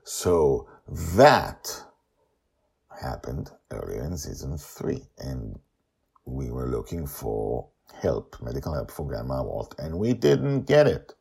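A man telling a story as host, expressive and emphatic, with dramatic pauses and casual asides. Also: rumbling low, creaky, husky.

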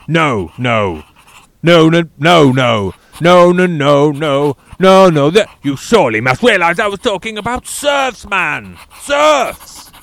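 A man's voice: fairly high pitch, gravelly, a bit bright, pitched above the performer's own voice, exaggerated voice. A man voicing an exasperated male theatre director reading letters aloud.

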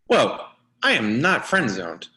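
A man acting as a reluctant, exasperated lovesick friend in a play reading, halting and flustered with deadpan retorts. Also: mid-range voice, full character voice. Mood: defensive, dejected. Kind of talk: readers-theatre comedy-drama acting.

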